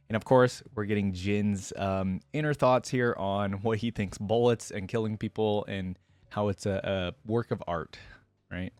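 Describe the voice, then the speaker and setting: A man with a fairly low voice hosts a reaction video in a very dry-sounding recording. He reads story text aloud and comments on it in a casual, informal way. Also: a little mellow, no room sound. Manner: informal, pausing reading to comment.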